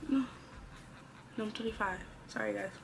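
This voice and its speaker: A woman's voice: a little high, moderate pace, vocal fry, background noise. A woman in her twenties talking casually and chattily to her viewers, like an informal chit-chat vlog with girlfriends. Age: 20s. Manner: chatty, informal, girl-talk address to viewers. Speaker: vlogger.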